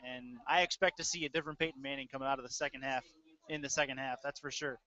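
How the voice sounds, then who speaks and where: A man's voice: mid-range voice, fairly even intonation, fast talker, bright, forceful tone. An American man working as a play-by-play announcer, giving live commentary on a football game. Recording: dull, lo-fi webcast audio.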